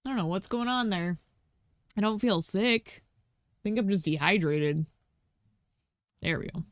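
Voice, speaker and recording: A woman streaming a game, croaky today, talking casually and thinking aloud with lots of ums. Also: mid-range voice, animated, a little slow, no echo, clean studio sound. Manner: thinking aloud, many ums, chatty asides to herself. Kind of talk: casual gameplay commentary.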